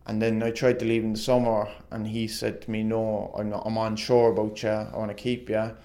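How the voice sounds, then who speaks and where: A man's voice: lowish voice, little pitch movement, fairly quick, dark tone. A young man, a former footballer, telling rambling stories in a casual interview, peppered with "like" and laughs. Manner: rambling, lots of "like", laughs often.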